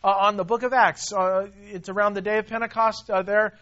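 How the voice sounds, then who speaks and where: High-voiced emphatic preacher, muffled recording. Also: male voice, little pitch movement, normal speed, pushed and bright. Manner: emphatic, repeats himself, rises and drops in volume. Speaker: pastor. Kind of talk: sermon.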